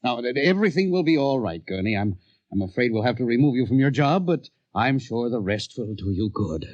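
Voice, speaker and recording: A man playing an impatient boss in a 1950s radio play, brusque and a little stammering. His voice is mid-pitched and close in a dry room, on a dull vintage recording. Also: lively intonation, brisk, darkish tone, dry recording, natural voice. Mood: nervously placating. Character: impatient, dismissive male office boss. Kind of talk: 1950s radio drama acting.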